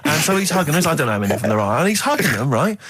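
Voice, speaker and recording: Fast-talking, higher-pitched man. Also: very animated, a bit bright, slightly rough. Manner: ranting, exasperated, incredulous. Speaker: radio show co-host. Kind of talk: comic banter on a radio show.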